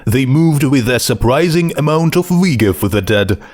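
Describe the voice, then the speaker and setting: A man narrating a scripted story in a measured, deliberate storytelling manner. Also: mid-range voice, lively intonation, darkish tone. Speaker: fan fiction audiobook narrator.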